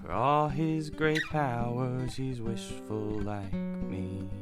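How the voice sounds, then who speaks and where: A man's voice: mid-range voice, fairly even intonation, slow pace, over loud music, lightly acted. A man playing a wistful, homesick travelling bard in a melancholy, reflective radio drama. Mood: wistful, singing. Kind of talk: sung folk ballad and in-character radio monologue.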